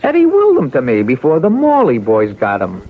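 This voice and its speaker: An actor playing a surly, grumbling, nasty little counterfeiter in a radio mystery, with rough, sarcastic, colloquial speech. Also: male voice, mid-range voice, huge pitch swings, fairly quick. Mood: proud, boastful. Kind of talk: radio mystery drama acting. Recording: tinny, band-limited old radio recording.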